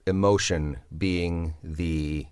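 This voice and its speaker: Deep-voiced man explaining his writing. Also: steady tone, very slow, a little mellow, clear, resonant tone, no echo, studio quality. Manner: thoughtful, explanatory, with fillers. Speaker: series writer and creator. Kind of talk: interview answer.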